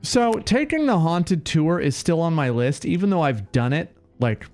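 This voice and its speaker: A man's voice: a little high, lively intonation, normal speed, darkish tone, faint music behind. A man streaming a video game, joking, rambling and talking back to the characters. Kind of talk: casual game commentary.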